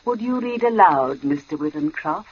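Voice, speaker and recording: A woman playing a strange, formal little housewife with deliberate speech in a 1940s radio drama. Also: fairly low pitch, animated, slightly rough. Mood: eerily courteous. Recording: dull old radio broadcast, crackly background.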